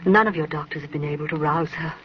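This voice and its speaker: A woman's voice: deep voice, fast talker, natural voice. Lady doing a foreboding castle aunt, dramatic old radio horror, muffled with some hiss. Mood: grave, accusing. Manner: dramatic, ominous.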